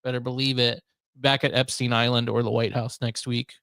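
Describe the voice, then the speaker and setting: A man's voice: mid-range voice, little pitch movement, fairly quick, a bit bright, smooth, no echo, studio quality. A man hosting a podcast in casual, unscripted chat, with a wry, rambling, somewhat hesitant way of talking. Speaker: podcast host.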